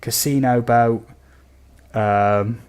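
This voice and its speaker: A man from the UK with a slightly croaky voice, chatting casually and easily to viewers as a livestream host. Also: mid-range voice, fairly even intonation, extremely slow, a little mellow. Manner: easygoing, chatty, addresses viewers as buddy and guys. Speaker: game streamer, host.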